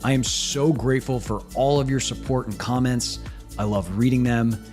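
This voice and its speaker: Male podcast host, polished upbeat intro, mid pitch, faint music bed. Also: fairly even intonation, normal speed, a little mellow. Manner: upbeat, polished announcer delivery. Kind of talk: scripted intro and interview.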